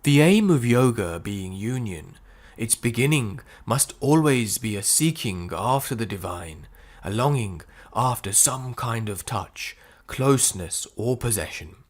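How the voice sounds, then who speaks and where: Low-pitched man reading a spiritual audiobook. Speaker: audiobook reader. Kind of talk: scripted reading of spiritual prose.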